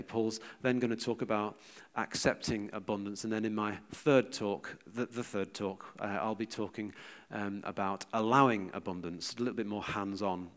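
British man giving an informal, hesitant talk. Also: lowish voice. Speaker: church speaker giving a teaching talk. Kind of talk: informal sermon with personal anecdotes.

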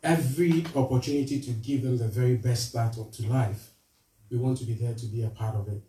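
A man from Ghana with a Ghanaian accent, giving an earnest charity appeal to a church, halting with fillers and restarts. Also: mid-range voice, steady tone, dark tone, a little hiss or hum. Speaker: charity representative speaking to a church. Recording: roomy echo, voice from far off.

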